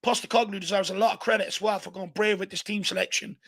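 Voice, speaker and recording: Hoarse Irishman calling a match excitedly. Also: high-pitched, fast. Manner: animated, urging players on. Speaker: fan channel host. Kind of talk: excitable live match commentary. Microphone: home desk mic.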